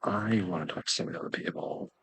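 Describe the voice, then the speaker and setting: A man with a husky, gravelly voice doing casual, rambling game commentary in a halting way. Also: lowish voice, fairly bright tone. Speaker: game streamer. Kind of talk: casual let's play commentary, sometimes reading game lines aloud.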